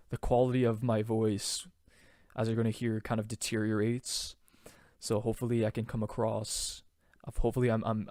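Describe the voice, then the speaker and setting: A man's voice: fairly low pitch, gravelly, darkish tone, dry recording, clean studio sound. A man teaching a song's vocal harmonies in a loose, chatty way, with many fillers and restarts. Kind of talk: casual harmony tutorial.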